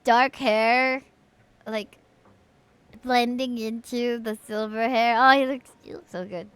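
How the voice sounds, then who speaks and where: A woman's voice: on the high side, steady tone, takes it slowly, a bit bright, smooth. A woman chatting casually on a game livestream in a gushing, informal way. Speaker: game streamer. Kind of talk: casual livestream commentary and chat. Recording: music behind the voice. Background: game music.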